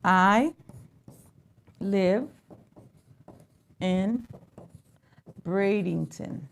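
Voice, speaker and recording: A woman with a Haitian Creole accent teaching English in a live online lesson, speaking slowly and repeating phrases as she writes them out. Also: medium pitch, gravelly. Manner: slow, repeats phrases while writing them out.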